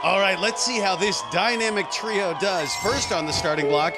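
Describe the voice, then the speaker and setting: A man calling a televised obstacle course competition as play-by-play commentator, getting excited and shouting at big moments. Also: high-pitched, moderate pace. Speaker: sports commentator. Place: outdoor event with loud crowd and music. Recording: crowd and music loud behind.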